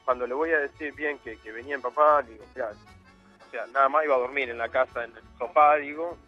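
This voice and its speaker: A man's voice: medium pitch, steady tone, a little fast, muffled recording, soft background music. A young man from Argentina with an Argentine Spanish accent, telling his story as a guest in a casual interview, rambling with many fillers. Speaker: guest footballer.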